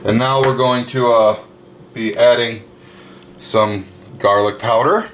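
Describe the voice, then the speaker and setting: A man casually narrating his home cooking step by step, chatty and relaxed, with plenty of fillers, recorded in a kitchen with the windows open. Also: mid-range voice, takes it slowly, slight background noise.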